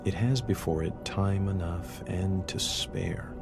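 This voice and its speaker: A man narrating philosophical passages for a game in a measured, contemplative, solemn way. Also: deep voice, creaky, exaggerated voice. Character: contemplative male reader of philosophical quotations. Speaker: narrator of game audio logs. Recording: music behind the voice. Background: music.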